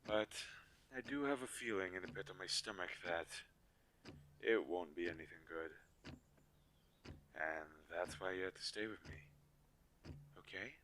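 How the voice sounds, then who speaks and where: A man playing a weary, protective magical inventor in an audio drama, speaking earnestly with halting pauses. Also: a bit bright. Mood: grave, worried. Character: weary, protective male inventor-mage, creator of living dolls. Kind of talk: scripted audio roleplay drama. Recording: low quality, audible background hiss.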